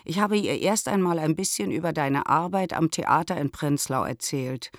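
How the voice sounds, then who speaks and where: A woman's voice: low voice, dry recording, clean studio sound, lightly acted. Woman acting a practical, admonishing middle-aged mother. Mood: matter-of-fact. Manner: measured, matter-of-fact, gently lecturing. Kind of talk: radio play letter reading.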